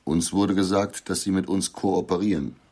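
An actor playing a polite, persistent investigator who asks measured questions in a radio drama. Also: male voice, low-pitched, steady tone, warm tone. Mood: measured, probing.

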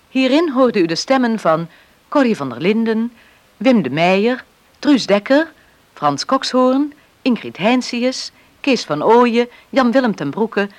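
A radio drama announcer reads closing credits formally and with careful diction. The pitch moves in wide steps, and the sound is dry and close. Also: no echo.

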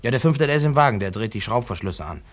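A man acting an eager, plain-spoken railway worker in a 1950s radio play, explaining keenly with halting repeats. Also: mid-range voice, expressive, lightly acted. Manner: halting, repetitive, keen explaining. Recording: 1950s radio drama recording.

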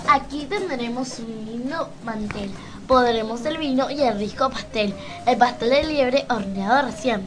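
Woman playing storybook girl and timid grandmother, high voice, rhymed repetitive delivery, children's radio play, music behind. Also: measured pace, close to an everyday voice. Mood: playful.

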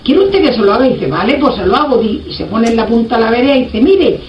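A woman tells a folk anecdote in an earthy, animated, colloquial way. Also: on the high side, fast. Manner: earthy, animated retelling with repeated reported speech. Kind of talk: casual oral anecdote, colloquial. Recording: muffled, roomy, distant mic, faint noise.

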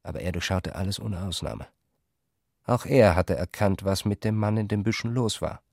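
A man's voice: low-pitched, normal speed, vocal fry, darkish tone, no echo, clean studio sound. A man reading scripted literary prose as a narrator in a radio play.

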